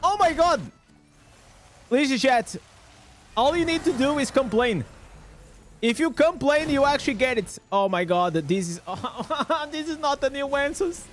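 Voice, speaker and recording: A man with a high voice for a man streams a game live, narrating his play in English. He bursts into excited reactions over loot and chats casually with his viewers. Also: unhurried, pauses often, slight background noise. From Portugal. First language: Portuguese. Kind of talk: live gameplay commentary.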